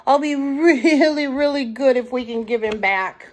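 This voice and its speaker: A woman's voice: high voice, unhurried, a little mellow, a little hiss or hum, voice pushed up. A woman voicing a pouting little boy raccoon in a children's storybook, pleading and whiny.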